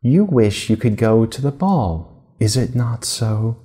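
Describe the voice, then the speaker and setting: A man voicing a kindly, commanding fairy godmother in a storybook, gently giving instructions. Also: mid-range voice, expressive, takes it slowly.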